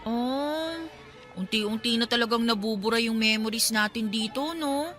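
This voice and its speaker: A woman's voice: on the high side, moderate pace, fairly bright tone, faint music behind, natural voice. A woman playing a friendly young woman chatting with an old childhood pal in a radio drama. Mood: wistful. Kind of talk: Tagalog radio drama dialogue.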